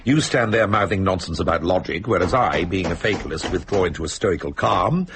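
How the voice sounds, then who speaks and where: Languid British cynic, theatrical and flippant, gravelly, very animated intonation, on a hissy radio drama recording. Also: male voice, low-pitched, brisk. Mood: sardonic, flippant. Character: sardonic, fatalistic, chattering cynic trapped in a haunted cottage. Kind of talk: supernatural radio drama acting.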